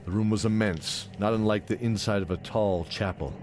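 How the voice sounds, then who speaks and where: A man's voice: fairly low pitch, moderate pace, background noise. A man narrating a scripted fantasy story as the narrator of an audio drama. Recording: music under the voice.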